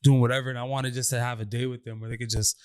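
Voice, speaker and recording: A young man chats loosely on a podcast, telling animated stories at an average pitch and jumping into high mocking voices for the people in them. The sound is dry and close to the mic, with no room around it. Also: rapid-fire, clean tone, no room sound. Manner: animated storyteller, swings into high mock voices. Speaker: podcast co-host. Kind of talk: casual chat.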